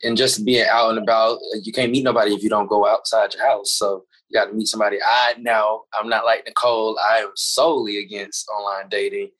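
A man's voice: lowish voice, level tone, brisk, hardly any pauses, fairly bright tone, low-quality recording. A young man from Atlanta giving his opinions emphatically in a casual podcast chat. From Atlanta, Georgia. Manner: emphatic, laughing. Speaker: podcast guest.

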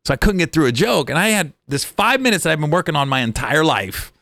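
A man in his forties, a stand-up comedian, telling stories from his career in a casual chat, animated and acting out voices. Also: fairly high pitch, fast, gravelly, no echo, studio quality.

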